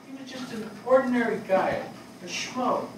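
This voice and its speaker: An older man playing a grieving, plain-spoken fire captain in a staged play reading, halting and weary. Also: extremely high pitch. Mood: troubled, reluctant. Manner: halting, hesitant delivery. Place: small theatre stage before a seated audience. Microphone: distant camera microphone. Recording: very echoey hall, far microphone, noisy.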